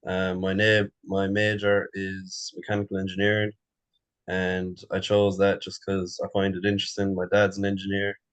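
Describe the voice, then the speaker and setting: A man's voice: low-pitched, monotone, normal speed, no room sound. A young man in his twenties with an Irish accent, an engineering student, talking casually and a bit rambling. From southwest Ireland. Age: 20s. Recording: dull lo-fi audio.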